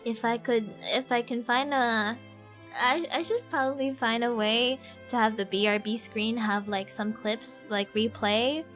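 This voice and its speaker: A woman live streamer chatting casually as she plays a game, playful, expressive and prone to exclamations. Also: on the high side, a little slow. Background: game music.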